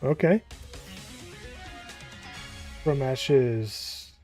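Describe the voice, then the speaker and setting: A man casually commenting as he plays through a game, thinking aloud with pauses and fillers. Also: medium pitch, warm tone, faint music behind. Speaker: gaming YouTuber. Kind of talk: casual let's-play commentary.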